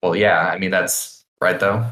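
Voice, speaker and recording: A man's voice: low voice, little pitch movement, unhurried, bright, forceful tone. A man co-hosting a podcast, reading listener questions in laid-back casual chat with dry quips. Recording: echoey room.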